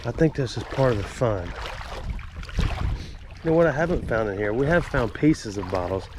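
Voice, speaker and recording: A man's voice: mid-range voice, animated, moderate pace, background noise. A man with a Southern accent and a stuffed-up nose, narrating casually and rambling in a folksy, self-amused way while wading through a creek. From Alabama. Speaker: creek explorer vlogger. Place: outdoors wading in a city creek.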